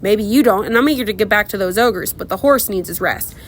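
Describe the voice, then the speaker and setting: A woman's voice: mid-range voice, fairly quick, a little hiss or hum, close to an everyday voice. A woman reading aloud as a chatty, boastful middle-aged knight with a hearty, rambling manner.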